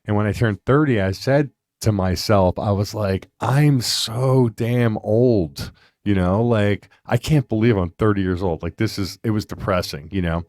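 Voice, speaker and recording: A fifty-something man hosting a podcast, his voice sitting on the low side. He rambles in a chatty way and drifts into lively asides. The recording is dry and close, with no room echo. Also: measured pace. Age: 50s. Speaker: podcast host. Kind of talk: casual interview chat.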